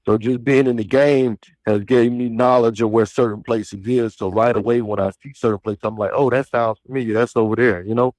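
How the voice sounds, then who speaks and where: A man's voice: medium pitch, steady tone, brisk, few pauses, a little mellow, dry recording, rough recording. Trucker guest, man in his 30s, rambling easygoing delivery, dry sound. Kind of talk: casual phone interview. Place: outdoors at a trick-or-treat event.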